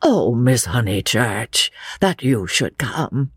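A narrator voicing a frail, gentle, sorrowful old gentleman with a quavering, halting delivery, in a storybook reading. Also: mid-range voice, very animated, husky, no room sound, clean studio sound, voice pushed down, heavily acted. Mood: sorrowful, apologetic. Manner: slow, halting, sighing.

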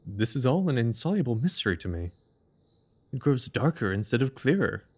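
A husky, bewildered gentleman, played by a man as a detective's companion in an audio drama. His pitch swings widely, and he sounds earnest, baffled and aghast. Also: big pitch swings, fairly quick.

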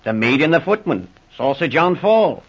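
A man's voice: a little high, sing-song, moderate pace, exaggerated voice. An actor playing a stubborn, blustering policeman in a 1940s radio drama, with blunt, indignant, ungrammatical rustic speech. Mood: insistent. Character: stubborn, blustering policeman eager to charge the suspect. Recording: muffled, rough old radio recording.

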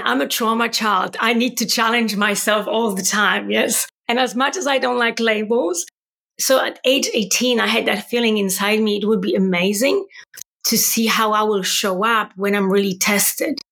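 A woman's voice: mid-range voice, normal speed, creaky, breathy edge. A woman in her forties from Poland with a Polish accent, telling her story earnestly as a guest on an interview podcast. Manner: earnest, animated storytelling with tag questions. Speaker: wellbeing coach, podcast guest. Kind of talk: conversational interview.

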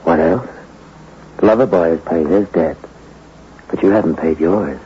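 A man's voice: low-pitched, normal speed, mellow, slight background noise, close to an everyday voice. A man playing a tough, bitter small-time hoodlum in a 1960s radio crime drama, with slangy, hard-bitten delivery. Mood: bitter, menacing. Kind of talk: 1960s radio crime drama acting with first-person narration. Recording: dull old radio recording with music behind.

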